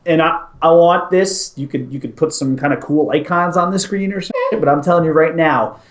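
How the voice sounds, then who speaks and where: A man's voice: fairly high pitch, fairly quick, echoey room. A man co-hosting a casual movie talk show, giving his ratings in an enthusiastic, storytelling way. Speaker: podcast co-host, movie reviewer. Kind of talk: casual movie review chat.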